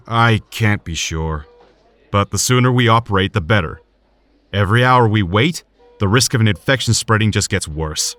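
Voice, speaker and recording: An actor playing a serious, cautious hospital surgeon in an audio drama, speaking in a measured, formal way. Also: male voice, on the low side, animated, fairly bright tone, no echo. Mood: grave, measured.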